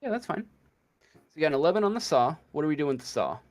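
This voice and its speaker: A man running a casual tabletop game as game master, improvising the narration in a hesitant, halting way. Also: fairly high pitch, sing-song, darkish tone.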